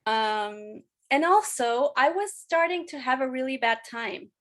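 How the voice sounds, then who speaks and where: High-voiced Chilean-born woman, candid guest. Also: animated, a little slow. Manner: talkative, candid, frequent restarts and "you know". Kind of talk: casual interview conversation.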